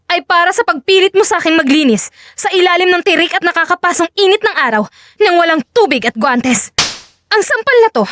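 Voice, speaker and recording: Woman acting a fierce high-pitched vengeful wife. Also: fairly bright tone, a little hiss or hum. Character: vengeful young wife, secret agent, cold and fierce.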